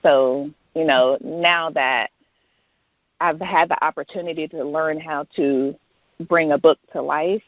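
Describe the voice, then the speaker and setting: A woman's voice: low voice, steady tone, measured pace, no room sound. A woman speaking as an interview guest and author, chatting casually in a reflective, conversational way. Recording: dull lo-fi sound.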